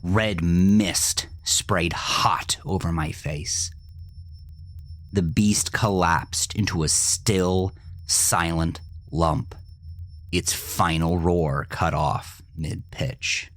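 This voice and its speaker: Male, horror audio narrator, low pitch, slow deliberate pacing, theatrical intensity, husky tone. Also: fairly even intonation, fairly bright tone, no room sound. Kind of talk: dramatic scripted first-person horror narration.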